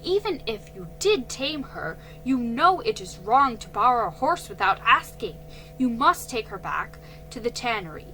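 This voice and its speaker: A woman voicing a calm, firm father who speaks in a measured way, in a children's storybook reading. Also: a little high, poor audio. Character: calm, firm father, middle-aged man. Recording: faint music behind.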